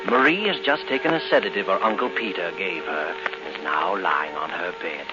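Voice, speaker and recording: A man playing a sinister, gloating narrator of a 1940s radio thriller, telling the tale in a slow, teasing, suspenseful way. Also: low voice, natural voice. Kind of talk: 1940s radio acting, second-person storytelling. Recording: muffled old 1940s radio recording with music.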